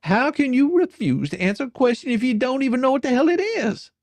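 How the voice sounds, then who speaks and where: Casting: performer as exasperated folksy attorney, badgering and sarcastic, very animated pitch, dry close recording. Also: fairly quick, no room sound. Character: pushy, folksy, exasperated attorney questioning a witness. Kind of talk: dramatic reading of a deposition transcript.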